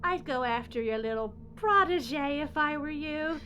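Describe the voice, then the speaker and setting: Woman acting a gloating evil scientist lady, highish voice, takes her sweet time, smug and cold, noisy audio drama track. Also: animated, measured pace, pushed and bright, full character voice. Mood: mocking.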